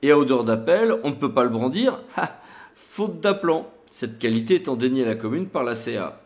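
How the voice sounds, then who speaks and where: A man's voice: medium pitch, expressive, moderate pace, darkish tone. A man presenting a legal tutorial in a didactic, emphatic way, like a lawyer explaining case law to an audience.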